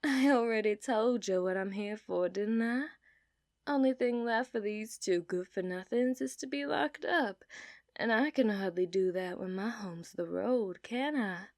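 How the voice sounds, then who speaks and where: A woman voicing an obsessive, dominant outlaw cowgirl in a put-on Southern accent, for an ASMR roleplay, teasing and dropping into soft whispers. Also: mid-range voice, a little slow, no echo, clean studio sound, close to an everyday voice. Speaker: ASMR performer.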